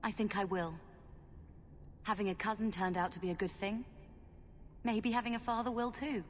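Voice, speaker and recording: A woman plays a guarded young fantasy game character. Her medium-pitched voice is expressive and sardonic, carried in a long reverb. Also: moderate pace, slight background noise, close to an everyday voice. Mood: tentative. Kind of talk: video game voice acting.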